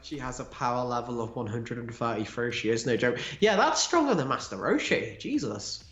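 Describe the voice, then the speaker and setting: A man's voice: mid-range voice, expressive, normal speed, fairly bright tone, dull audio, soft background music. A man chatting casually about fandom, opinionated and emphatic, with some salty language. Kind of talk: casual fan discussion. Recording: roomy, echoey sound.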